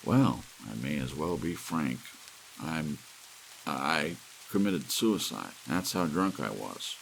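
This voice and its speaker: A man's voice: very deep voice, expressive, slow pace, gravelly, slight background noise, full character voice, low-quality recording. A man voicing a bewildered, humble young druggist in a storybook, hesitant and sheepish. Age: young adult. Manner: faltering, sheepish.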